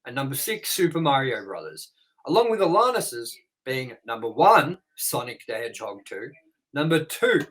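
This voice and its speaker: A man's voice: fairly high pitch, fairly bright tone, rough recording. A man co-hosting a movie review show, reading trivia and goofs from his notes in a casual way and swearing offhandedly.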